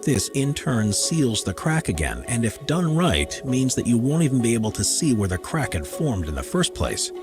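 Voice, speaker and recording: A man reading scripted explainer narration with measured, emphatic phrasing. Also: mid-range voice, sing-song, over music.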